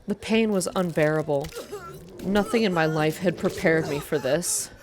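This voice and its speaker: A woman reading first-person story narration aloud in a soft, hushed, measured ASMR style. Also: deep voice, slight background noise. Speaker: narrator reading a visual novel game aloud.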